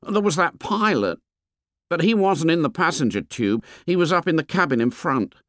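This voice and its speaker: A man's voice: fairly high pitch, very animated, moderate pace, a bit bright, dry recording, clean studio sound, pitched above the performer's own voice. A man voicing a fat, anxious schoolboy with asthma who chatters eagerly in ungrammatical speech. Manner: eager, working-class boy's grammar.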